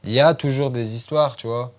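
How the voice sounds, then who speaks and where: A man of ordinary pitch talks casually as a podcast guest. His speech is loose and informal, full of little tag phrases. He sounds relaxed in a studio setting. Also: brisk. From France. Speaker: guest, MMA fighter.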